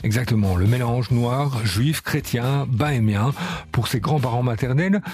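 A man's voice: mid-range voice, a little slow, a little mellow. A man hosting a casual radio segment, telling a musician's story in a chatty way with frequent fillers. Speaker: radio show host.

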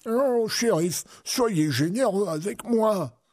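A poor, fearful old villager from a storybook, as a man plays him. He pleads meekly in a raised, husky voice. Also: sits high, animated, slow, darkish tone, no room sound, studio quality, voice pushed up, full character voice. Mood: pleading. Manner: pleading, meek. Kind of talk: storybook character voice.

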